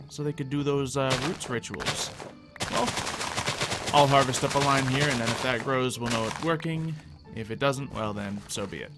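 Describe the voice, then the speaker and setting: A man with a mid-range voice hosts a gaming video over clearly audible background music. He comments casually on the gameplay, working through his thoughts aloud. His delivery is conversational and explanatory, like a player walking viewers through each step. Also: medium pitch. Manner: thinks out loud, explanatory, conversational.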